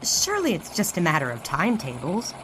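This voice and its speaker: Precise young newspaperwoman, very expressive. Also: low voice, fairly quick, a little hiss or hum, full character voice. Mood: precise, efficient. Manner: precise, quietly correcting. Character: brisk, precise, bookish young daughter of newspaper boss. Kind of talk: period radio drama acting.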